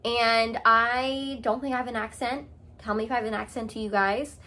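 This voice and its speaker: A young Canadian woman talking straight to her camera in a loose, chatty vlog. Her voice sits a little high, and she fills her sentences with "um"s and "like"s as she goes. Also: steady tone, normal speed, bright, forceful tone, a little hiss or hum. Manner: chatty, with many "um"s and "like"s. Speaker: vlogger. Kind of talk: casual chat to camera.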